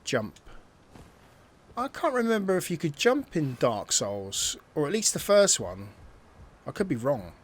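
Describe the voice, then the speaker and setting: A man giving casual, excitable commentary while playing a video game and thinking aloud. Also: on the high side, a little slow, a little hiss or hum. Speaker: gameplay streamer. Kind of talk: casual let's-play commentary.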